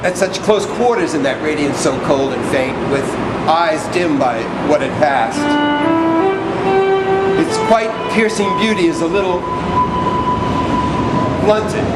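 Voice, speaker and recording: A man playing a servile, wordy orator in a stage drama, reciting poetic text in a halting, deliberate, declamatory way. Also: on the high side, heavy background noise. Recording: echoey room with music behind.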